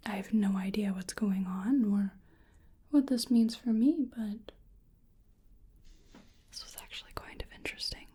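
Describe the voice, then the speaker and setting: A woman doing soft-spoken ASMR roleplay, largely whispering, gently and hesitantly murmuring to the listener in a breathy voice. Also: mid-range voice, a little slow, pauses often.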